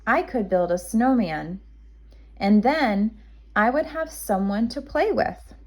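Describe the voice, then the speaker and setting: A woman's voice: on the high side, animated, slow, darkish tone. A woman voicing an eager little bear cub. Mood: hopeful. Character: small eager, lonely young male bear cub.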